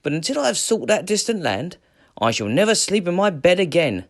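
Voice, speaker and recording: Man putting on a bold, high-pitched Viking captain. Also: sing-song, fairly quick, no echo, clean studio sound. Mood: resolute. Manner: declamatory, commanding. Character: bold, determined male Viking captain shouting orders. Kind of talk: dramatic storybook character voice.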